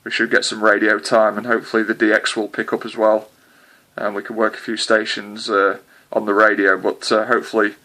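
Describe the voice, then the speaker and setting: A man's voice: on the low side, completely flat delivery, a little fast, sharp tone. A man chatting hesitantly with a distant contact as a CB radio operator, with lots of filler sounds. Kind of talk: casual radio contact chat.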